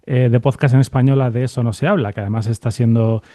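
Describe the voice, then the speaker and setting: A man with a dark, mellow voice hosts a conversational interview, recorded close and dry. His pitch sits in the middle for a man, and he feels his way through halting phrases, often ending on a short checking question. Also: fairly even intonation, dry recording. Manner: hesitant, halting phrasing with repeated tag questions. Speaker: podcast host and interviewer.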